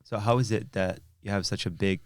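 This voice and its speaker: A man's voice: lowish voice, steady tone, fairly quick, no room sound, clean studio sound. A man hosting a podcast, talking thoughtfully in a rambling, casual way with many fillers. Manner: thoughtful, rambling, lots of "you know". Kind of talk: casual chat.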